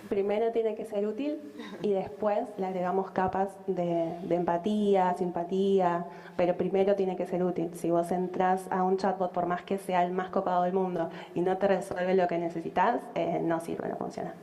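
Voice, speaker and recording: A woman's voice: on the low side, level tone, moderate pace, warm tone. A woman speaking as a conference panelist, direct and matter-of-fact.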